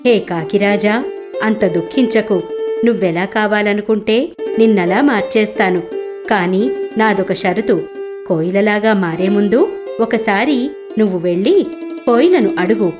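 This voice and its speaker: Creator god, animated moral tale: female performer as benevolent male deity, measured and reassuring. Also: medium pitch, over music, close to an everyday voice.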